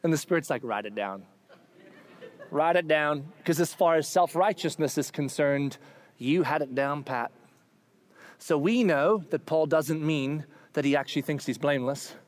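A man preaching a sermon, animated and humorous, acting out quoted figures. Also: a little high, moderate pace. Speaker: pastor.

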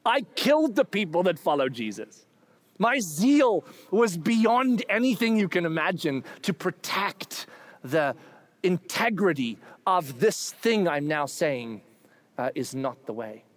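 A man preaching a sermon, animated and humorous, acting out quoted figures. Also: sits high, unhurried, no room sound. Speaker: pastor.

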